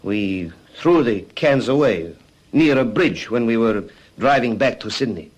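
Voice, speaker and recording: Casting: cowed male crime suspect, mid-pitched, halting and earnest, eager to confess, classic radio drama. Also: expressive, husky. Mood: halting confession.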